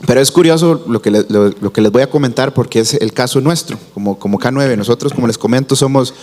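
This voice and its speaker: A man's voice: medium pitch, quick pace, a little mellow. A man from Costa Rica, a security company executive, explaining his views on a business panel in a conversational way with many fillers. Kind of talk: panel discussion answer. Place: conference hall. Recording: echoey hall reverb.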